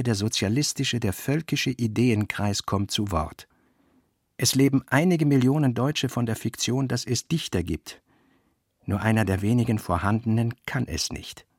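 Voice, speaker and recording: Brooding writer muttering terse notes to himself, middle-aged, husky, dry close sound, radio play. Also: male voice, on the low side, dry recording. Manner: deliberate, terse, aphoristic. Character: brooding middle-aged male novelist reading out his working notes. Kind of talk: literary radio play monologue.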